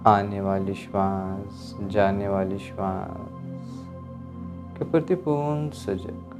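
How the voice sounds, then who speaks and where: A man leads a guided meditation in a low voice. He speaks very slowly and calmly, leaving pauses between measured instructions. Music plays behind him.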